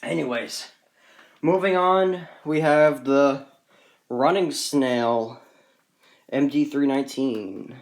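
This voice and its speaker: Man, medium pitch, casual unscripted collector walk-through, rambling and matter-of-fact, handheld phone audio. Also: slow, pauses often. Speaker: gadget collector presenting his collection. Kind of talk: casual off-the-cuff show-and-tell.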